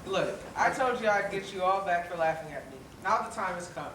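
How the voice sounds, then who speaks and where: Actor doing a gruff grumpy shark bully in a kids play, echoey and far from the mic. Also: mid-range voice, expressive, brisk, fairly bright tone, lightly acted. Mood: gloating, menacing. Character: grumpy bullying shark who crashes parties, later softened. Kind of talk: children's stage play acting. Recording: echoey stage, distant mic, noisy.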